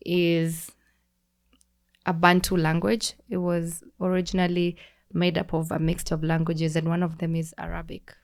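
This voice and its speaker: A woman's voice: fairly low pitch, completely flat delivery, normal speed. Kenyan woman explaining patiently. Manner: explanatory, teacherly, frequent restarts. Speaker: podcast guest. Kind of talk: casual conversational explaining.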